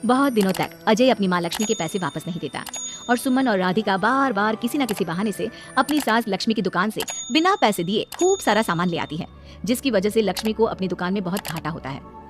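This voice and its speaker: A woman's voice: mid-range voice, lively intonation, brisk, soft background music. A woman reading scripted narration for a cartoon moral story.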